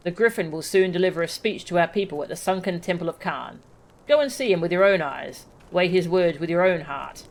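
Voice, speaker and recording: A woman's voice: on the low side, brisk, natural voice. A woman voicing a grave, dignified, wary settlement leader from a video game, speaking solemnly and formally. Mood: grave, urging. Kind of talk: reading video game dialogue aloud.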